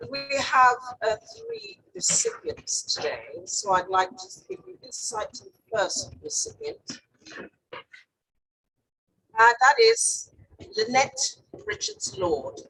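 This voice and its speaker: A woman's voice: very high voice, wildly swooping pitch, takes it slowly, vocal fry, sharp tone. A woman hosting a medal ceremony, introducing each recipient with upbeat praise. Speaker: ceremony host. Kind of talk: ceremony announcing. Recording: distant mic, faint noise.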